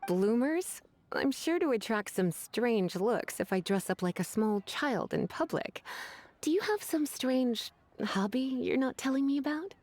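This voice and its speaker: A woman's voice: on the high side, animated, unhurried, a little mellow, dry recording. Coy, lilting, teasing lady. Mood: dismayed, teasing. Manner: coy, drawling, sighing. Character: languid, teasing, flirtatious grown woman, sweetly menacing. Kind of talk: video game voice acting.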